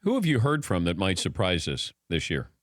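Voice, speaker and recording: A man hosting a sports talk show, asking quick, playful questions in a chatty way. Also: low-pitched, huge pitch swings, no room sound, studio quality. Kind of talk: casual radio interview.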